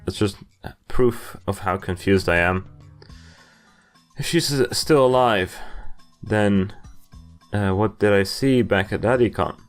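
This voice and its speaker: Low-voiced young man over game music. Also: slow, pauses often. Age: young adult. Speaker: video game player and commentator. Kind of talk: playthrough commentary, reading game text aloud.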